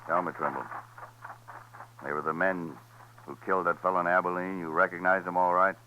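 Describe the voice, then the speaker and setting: An American actor playing a confident, easygoing frontier gunfighter in a 1950s radio western. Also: male voice, deep voice, little pitch movement, fairly quick, bright, forceful tone. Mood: grim. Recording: muffled hissy old radio recording.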